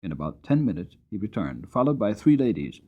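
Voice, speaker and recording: A man narrating an audiobook story in the first person, with a dramatic, gentle storytelling manner. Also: lowish voice, big pitch swings, brisk, breathy edge, dull audio.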